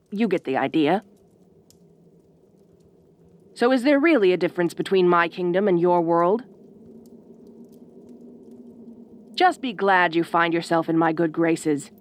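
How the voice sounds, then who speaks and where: A woman playing a haughty, bossy ice queen in an audio roleplay, speaking with imperious, deliberate phrasing. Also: fairly low pitch, lightly acted. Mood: cold, reflective. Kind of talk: audio roleplay addressed to the listener. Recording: roomy, echoing voice recording.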